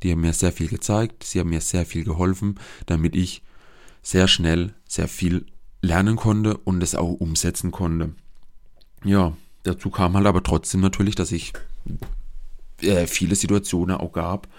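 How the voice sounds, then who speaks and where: A man's voice: low-pitched, fairly even intonation, moderate pace, a little mellow. A young man in his twenties with a regional dialect, hosting his own podcast in an earnest, hesitant monologue. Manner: earnest and searching, with frequent hesitations and restarts. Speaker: podcast host, social worker.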